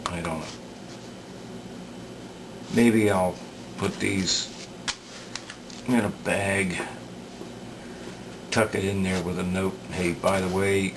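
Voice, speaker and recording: A man giving unscripted workbench commentary as a repair hobbyist, hesitant and halting as he thinks aloud. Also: low voice, fairly even intonation. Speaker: radio repair hobbyist demonstrating work. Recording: clear background noise.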